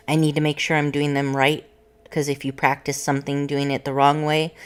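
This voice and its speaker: A woman's voice: sits low, clear, resonant tone. A woman, a voice student, chatting casually and explaining her practice step by step between vocal exercises.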